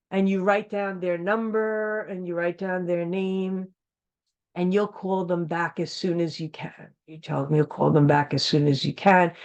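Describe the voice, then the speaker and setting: A woman's voice: medium pitch, darkish tone. An American woman giving an informal training lesson, speaking plainly as an instructor and repeating key points for emphasis. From United States. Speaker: instructor of a training course for school founders.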